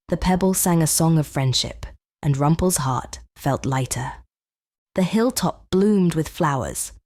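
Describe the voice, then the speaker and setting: A woman narrating a children's bedtime story in a gentle, measured, expressive read-aloud style. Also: lowish voice, no echo, clean studio sound.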